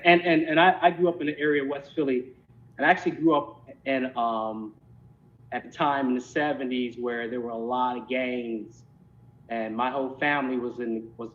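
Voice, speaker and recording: A man in his fifties from Philadelphia with an American accent, reminiscing casually as an interview guest in a halting way, repeating words as he goes. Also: steady tone, fairly bright tone. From Philadelphia, United States. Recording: dull lo-fi call, rumbling noise.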